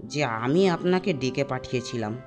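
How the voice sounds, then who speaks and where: A man voicing an elderly, secretive village Brahmin priest in an animated ghost story, speaking in a hesitant, polite, hushed manner. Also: mid-range voice, normal speed, faint music behind, lightly acted. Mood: guarded, secretive. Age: older.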